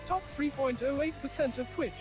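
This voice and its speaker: A man's voice: extremely high pitch, fairly quick, a little mellow, soft background music. A synthetic man's voice reading short chat messages aloud on a live stream. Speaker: text-to-speech voice reading viewer chat messages.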